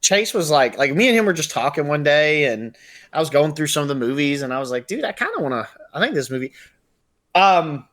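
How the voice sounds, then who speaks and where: Higher-pitched man chatting on a podcast. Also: very animated, fairly quick, a bit bright. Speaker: podcast co-host. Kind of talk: casual podcast chat about movies.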